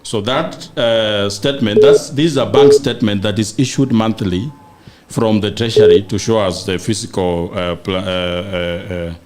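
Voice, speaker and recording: Halting, lower-voiced Kenyan man. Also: fairly even intonation, darkish tone. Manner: halting, searching for words. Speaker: panel guest. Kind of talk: explaining figures in a political discussion.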